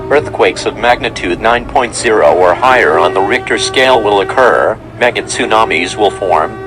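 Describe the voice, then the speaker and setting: A man playing a grave emergency broadcast announcer, reading formal, measured warnings in a scripted alert. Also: fairly low pitch, close to an everyday voice. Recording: very noisy emergency broadcast audio.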